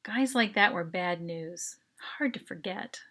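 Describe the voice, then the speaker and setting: A woman reading a first-person short story as its author, in an expressive, dramatic narration style. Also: fairly low pitch, measured pace.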